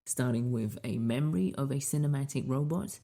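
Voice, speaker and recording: A man's voice: medium pitch, fairly quick, warm tone. A man hosting a podcast in casual, chatty style, playfully repeating phrases and putting rhetorical questions to listeners. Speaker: podcast host.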